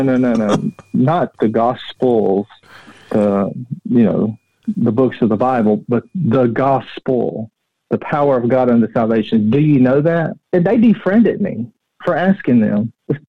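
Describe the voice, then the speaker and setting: A middle-aged man telling personal stories as an interview guest, plain-spoken and halting, often repeating words. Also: mid-range voice, no echo. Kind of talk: casual personal storytelling. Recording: dull, muffled but dry audio.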